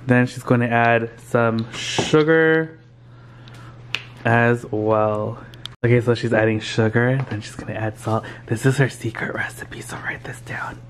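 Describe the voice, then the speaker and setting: A man's voice: mid-range voice, steady tone, unhurried, slight background noise. A young man vlogging at home, chatting casually to a handheld camera held at arm's length and laughing often. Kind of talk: casual vlog chat. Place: home kitchen and bedroom.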